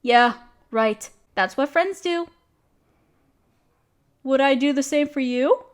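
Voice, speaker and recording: High-voiced, apologetic young woman acted by a woman. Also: takes it slowly. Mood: sheepish, warming. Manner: self-deprecating, hesitant, casual. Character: downcast, apologetic young woman, overworked, confiding in a close friend. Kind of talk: roleplay audio drama, intimate one-sided conversation.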